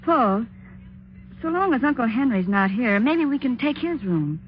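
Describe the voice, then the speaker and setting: An anxious, kindhearted young niece in an old radio play. Her voice sits a little high, earnest and dramatic, on a dull vintage recording. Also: big pitch swings, a little slow, faint music behind. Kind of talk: 1950s radio drama acting with first-person narration.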